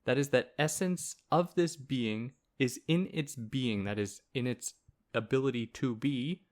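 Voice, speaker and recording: A man's voice: mid-range voice, unhurried. Soothing-voiced man explaining philosophy. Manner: relaxed, conversational, with fillers and asides. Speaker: podcast host. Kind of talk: casual explanatory philosophy lecture.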